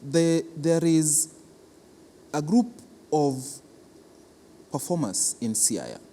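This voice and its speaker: A man with a Kenyan accent explains things as an interviewed official, speaking haltingly and repeating his words. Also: on the high side, animated, dark tone. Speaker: cultural institution official being interviewed. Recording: reverberant hall with clear background noise.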